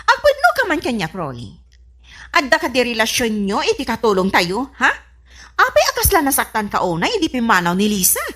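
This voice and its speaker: A woman's voice: fairly high pitch, wildly swooping pitch, brisk, a bit bright, heavily acted. A woman playing a strict, suspicious wife and household boss in a comedy radio drama. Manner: stern, questioning.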